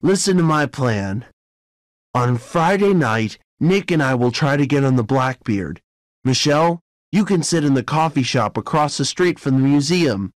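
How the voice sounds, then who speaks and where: A man playing a keen young amateur sleuth who takes charge, in deliberate storybook dialogue. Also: medium pitch, expressive, dry recording, natural voice. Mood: planning, decisive. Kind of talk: scripted audiobook dialogue.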